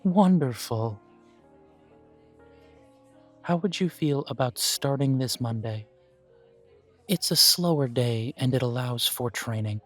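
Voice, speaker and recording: A man voicing a slow, courteous elf shopkeeper. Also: mid-range voice, faint music behind. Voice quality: calm, formal, measured. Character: polite male elf coffee shop owner. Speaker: shop manager interviewing an applicant. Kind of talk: audio roleplay, talking to the listener.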